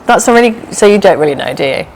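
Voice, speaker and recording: A woman's voice: mid-range voice, lively intonation, rapid-fire, slight background noise, poor audio. Chatty, teasing Hull woman. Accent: Yorkshire (Hull). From Hull, England. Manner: chatty, teasing, lots of tag questions. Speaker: interviewer and business partner. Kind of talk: casual interview chat.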